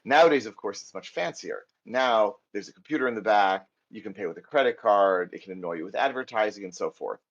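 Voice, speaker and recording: Husky American man teaching code. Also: lowish voice, lively intonation, brisk, few pauses, lo-fi sound. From United States. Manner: chatty, self-deprecating, thinks aloud while typing. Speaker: programming instructor. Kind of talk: live coding lecture.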